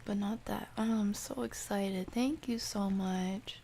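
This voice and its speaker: A soft-spoken woman hosting an ASMR livestream, chatting casually and gently, often dropping toward a whisper. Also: mid-range voice, steady tone, takes it slowly, gravelly, a little hiss or hum. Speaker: livestream host. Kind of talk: ASMR livestream, casual chat reading and answering viewer comments.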